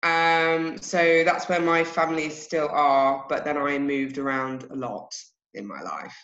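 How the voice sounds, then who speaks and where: An English woman guest talks frankly and reflectively, with a self-deprecating edge. Her voice is bright, and the recording comes over a roomy, dull-sounding remote line. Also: low voice, unhurried. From England. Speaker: podcast guest. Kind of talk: candid personal story in an interview.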